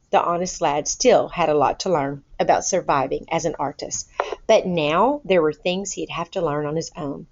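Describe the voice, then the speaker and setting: Woman, storybook narration, expressive and deliberate, lower pitch, dull lo-fi recording. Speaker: storybook reader. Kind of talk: read-aloud children's story narration. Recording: boxy roomy sound, dull lo-fi.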